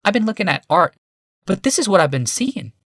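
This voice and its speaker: A male narrator performs a passionate woman visionary from a fantasy audiobook. The voice is raised to a feminine register and moves in lively swoops. It is dry in sound, with urgent, yearning intensity. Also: high-pitched, fairly quick, husky, no room sound, pitched above the performer's own voice, exaggerated voice. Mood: excited, yearning.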